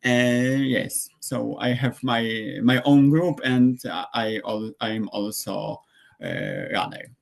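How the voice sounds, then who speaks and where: A young man from Poland, introducing himself haltingly as a student running coach. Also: medium pitch, steady tone, creaky. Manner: halting, searching for words. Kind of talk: self-introduction on a video call.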